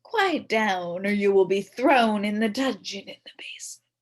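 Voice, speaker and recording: A woman's voice: mid-range voice, expressive, normal speed, lightly acted. A woman voicing a pompous male principal who plays a tyrannical king in a children's storybook, proclaiming imperiously.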